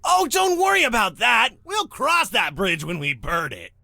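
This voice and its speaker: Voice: medium pitch, very animated, normal speed, creaky, bright, forceful tone, no room sound, shouting, studio quality, exaggerated voice. An actor voicing a flamboyant, cocky demon guide from hell in a visual novel, with a showy, self-satisfied delivery. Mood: excited. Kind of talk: theatrical visual novel character acting.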